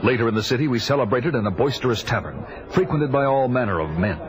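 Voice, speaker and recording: A man's voice: medium pitch, animated, quick pace, over music, natural voice, poor audio. An actor playing a grim barbarian warrior, solemnly narrating his adventure as dramatic audio drama. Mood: solemn, exultant.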